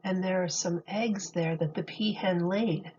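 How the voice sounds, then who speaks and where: A woman teacher reading a picture book aloud to young children in a deliberate, expressive storytelling manner. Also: on the low side, dull audio, a little hiss or hum.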